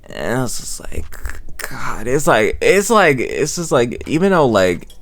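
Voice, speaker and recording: A man's voice: mid-range voice, big pitch swings, slow, creaky, faint music behind. A man hosting a casual, rambling recap and reaction, emotive and slangy, often repeating phrases for emphasis. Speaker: host reviewing an audio drama.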